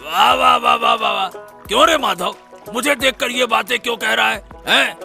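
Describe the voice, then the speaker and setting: A man playing a discontented, scheming boatman in a cartoon story, with a gravelly voice and exclamatory grumbling. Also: very high voice, normal speed, sharp tone, soft background music, heavily acted. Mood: teasing, sarcastic. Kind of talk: Hindi cartoon story dialogue.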